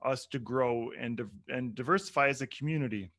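A man's voice: medium pitch, brisk, a bit bright. A man hosting a podcast, friendly and joking in casual interview chat with some hesitant fillers. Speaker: podcast host.

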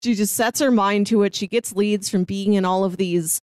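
A woman's voice: mid-range voice, steady tone, moderate pace, a little mellow, clean tone, no echo. A woman co-hosting a podcast, giving a casual, conversational review and recap. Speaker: podcast co-host.

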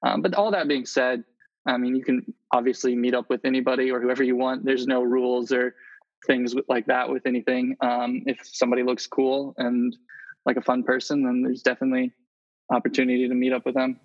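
A man's voice: mid-range voice, little pitch movement, fairly quick. A man speaking earnestly in a casual, conversational interview as a guest. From Indonesia and the Philippines. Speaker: interview guest, travel photographer. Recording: slightly dull, lo-fi audio.

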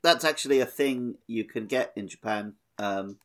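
A man's voice: mid-range voice, moderate pace. A man co-hosting a podcast, chatting casually about a video game with wry, dry humour. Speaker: podcast co-host.

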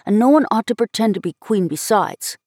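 A woman's voice: mid-range voice, lively intonation, brisk, a little mellow, no room sound, clean studio sound, natural voice. A woman voicing a disdainful, stubborn little boy in a storybook reading, blunt and insistent. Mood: dogged.

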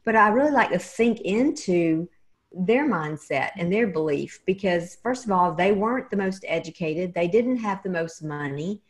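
A woman's voice: lowish voice, lively intonation, moderate pace. Woman interviewer, enthusiastic host, leans hard on key words. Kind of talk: conversational interview.

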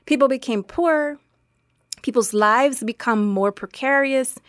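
A woman reading a dubbed translation of an interview answer in an articulate, deliberate, formal way. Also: high-pitched, dry recording, studio quality. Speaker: voice-over translator dubbing an interview guest. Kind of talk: measured, read dubbed translation.

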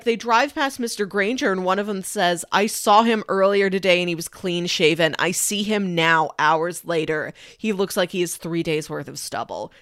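A woman's voice: medium pitch, dry recording, studio quality. A woman talking casually and analytically as a podcast co-host discussing a film.

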